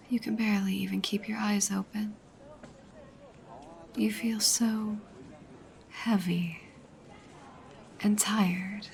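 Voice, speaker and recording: A woman playing a dominant, teasing goddess girlfriend in an ASMR roleplay, soft, breathy and often whispering, coaxing and commanding. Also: medium pitch, slight background noise. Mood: soothing, lulling.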